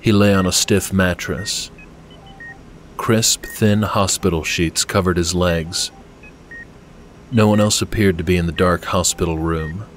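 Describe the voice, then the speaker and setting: A man's voice: sits low, fairly even intonation, measured pace, long pauses, a little hiss or hum. A man reading scripted fiction as an audiobook narrator.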